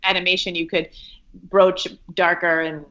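A woman's voice: lowish voice, little pitch movement, moderate pace, fairly bright tone, highs cut off. A woman comedian telling stories in a casual interview, chatty and hesitant, with many ums and restarts. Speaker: comedian guest. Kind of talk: casual storytelling chat.

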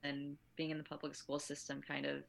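A woman's voice: low-pitched, completely flat delivery, brisk, gravelly. A woman hosting a podcast, talking in a casual, conversational way. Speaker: podcast host, dance teaching artist.

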